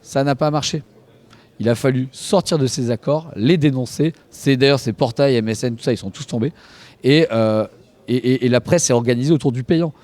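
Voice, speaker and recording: A man's voice: mid-range voice, normal speed, darkish tone. A man speaking as a panelist in a spontaneous discussion, often repeating words as he searches for his phrasing. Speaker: industry panelist.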